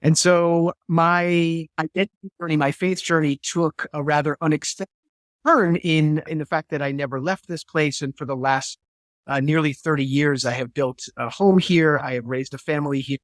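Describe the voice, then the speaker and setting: Guest speaker, man, 50s, slightly high pitch, thoughtful and searching, video-call mic. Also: steady tone, normal speed, no echo, clean studio sound. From New Hampshire, USA. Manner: thoughtful, repeats words as he searches for phrasing. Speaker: guest historian and theologian. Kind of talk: reflective interview answer.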